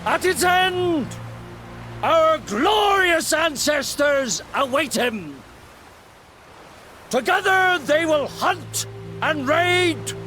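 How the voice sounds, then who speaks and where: An actress playing a solemn clan elder delivering a funeral eulogy in a video game cutscene, declaiming in a ringing voice. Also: female voice, high-pitched, lively intonation, extremely slow, pauses often, a bit bright, soft background music, full character voice. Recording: score underneath.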